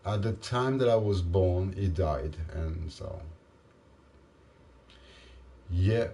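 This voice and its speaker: A man, tired after a late night, rambling haltingly to the camera in a self-mocking way. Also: dark tone, a little hiss or hum. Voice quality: deep voice. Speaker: vlogger. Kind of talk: casual rambling monologue.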